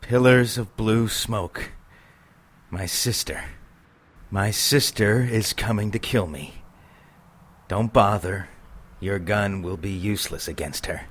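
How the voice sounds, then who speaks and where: Supernatural audio drama: eerie male occultist, low register, measured and menacing. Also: fairly bright tone. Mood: grim, ominous.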